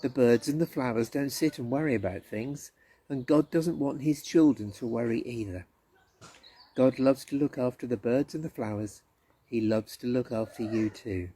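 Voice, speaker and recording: A man acts out a kindly storybook teacher, playful and reassuring. The voice is mellow and husky, with rhetorical questions put gently and tenderly. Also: mid-range voice, normal speed, lightly acted. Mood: tender reassurance.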